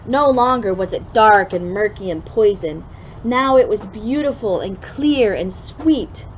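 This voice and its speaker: A woman reading a children's story aloud expressively, drawing out key words with deliberate emphasis. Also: mid-range voice, lively intonation. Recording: dull lo-fi sound with clear background noise.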